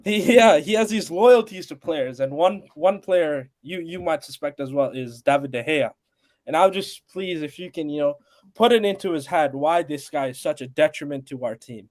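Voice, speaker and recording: Man, American accent, caller, polite, earnest, higher voice. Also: animated, moderate pace, darkish tone. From Pakistan. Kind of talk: casual call-in chat.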